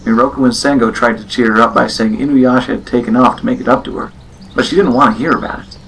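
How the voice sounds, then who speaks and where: A man narrates a scripted story in a medium-pitched voice. It is an amateur recording with slight noise behind him. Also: steady tone, fast, fairly bright tone, low-quality recording.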